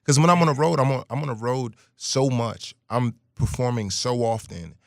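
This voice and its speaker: A man's voice: medium pitch, normal speed, vocal fry, dry recording, studio quality. A man from Brooklyn and Guyana, a musician guest telling stories in a casual interview. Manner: animated storyteller, hums melody lines.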